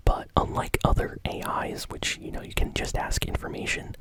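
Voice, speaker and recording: Soft whispered ASMR gaming news host. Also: rough recording. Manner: casual, conversational, rambling.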